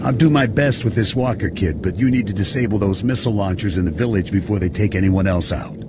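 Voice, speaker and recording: Male actor as veteran army mechanic officer, lowish voice, easygoing, chummy, informal, game dialogue, slight background noise. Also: fast, close to an everyday voice. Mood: brisk, encouraging. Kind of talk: video game voice acting.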